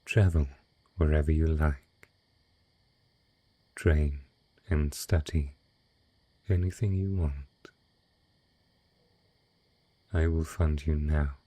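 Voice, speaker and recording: A man playing a wealthy, possessive dragon in human guise, speaking in slow, formal, seductive phrases for an intimate romantic audio roleplay. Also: extremely low pitch, warm tone, breathy edge, dry recording, exaggerated voice.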